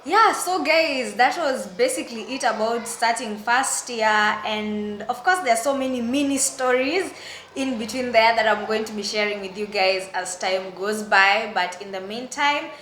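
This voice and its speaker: A young woman with a Kenyan accent chats through a storytime vlog with a bright, forceful voice that sits a little high. She exclaims often and acts out quotes. The room around her is echoey, with a faint hum of ambience. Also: moderate pace. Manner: animated, exclaiming, acting out quotes. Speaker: vlogger. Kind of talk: casual storytime.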